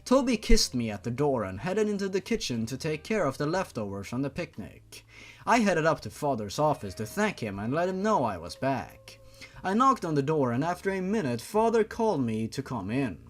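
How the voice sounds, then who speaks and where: A man reading a story aloud in a theatrical way, with drawn-out emphasis and sarcastic asides. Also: a little high, very animated. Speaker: host reading fan fiction aloud. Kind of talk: dramatic mocking story reading.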